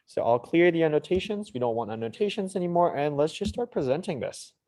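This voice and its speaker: A man's voice: on the high side, lively intonation, fairly quick, darkish tone. A young man from Mauritius moderating an informal online student presentation, with casual, slightly hesitant phrasing. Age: young adult. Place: online video call.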